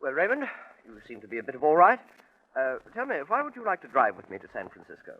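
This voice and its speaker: An actor playing an eccentric, chatty English gentleman with posh, fussily polite old-fashioned talk in 1940s radio style. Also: a little high, huge pitch swings, fast, heavily acted. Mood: curious, probing. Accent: British. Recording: muffled vintage 1940s radio recording.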